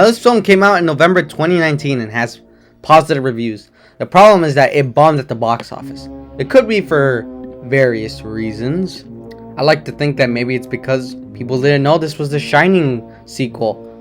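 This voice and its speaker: A man with a slightly hoarse voice and occasional voice cracks, giving a chatty, rambling movie review at home. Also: mid-range voice, lively intonation, moderate pace, faint music behind. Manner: chatty, rambling, enthusiastic opinions. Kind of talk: casual non-spoiler movie review.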